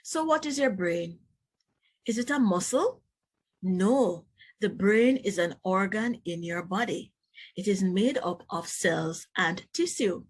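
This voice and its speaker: A woman reading aloud as a teacher to young children, instructive and expressive, adding explanatory asides. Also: medium pitch, takes it slowly, breathy edge. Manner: instructive, addresses young listeners directly, expressive emphasis.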